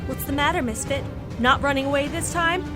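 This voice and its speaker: A man's voice: very high-pitched, brisk, music in the background. A man playing an arrogant, hot-tempered superhero who sneers and taunts in an audio drama. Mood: scornful.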